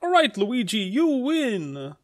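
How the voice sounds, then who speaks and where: A man's voice: very high voice, huge pitch swings, takes it slowly, pitched above the performer's own voice, exaggerated voice. A man voicing a bloodied, weary video-game plumber hero in a webcomic read-aloud, resigned and pleading.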